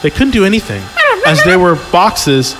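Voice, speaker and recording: A man reading a story aloud as a podcast host, giggly and excitable, often breaking off into casual joking asides. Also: sits high, moderate pace. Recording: music behind the voice.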